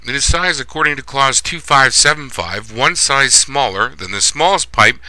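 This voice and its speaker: Bright, dry-voiced male narrator. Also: medium pitch, animated, normal speed, no room sound, rough recording. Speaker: plumbing instructor. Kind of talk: scripted instructional narration.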